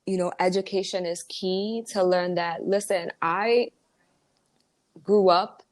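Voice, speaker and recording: A woman's voice: fairly low pitch, fairly even intonation, slow pace. A woman from the Dominican Republic, an author and entrepreneur guest, speaking earnestly and with motivational emphasis in casual interview talk.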